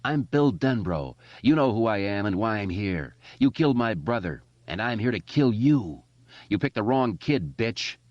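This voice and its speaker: A man puts on a defiant young boy for an audiobook. The voice is raised and lifted higher than the narration, and it stammers a little. The recording is dry and slightly muffled. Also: very animated, normal speed, dry recording. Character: brave, defiant young boy facing a monster. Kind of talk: audiobook character voice.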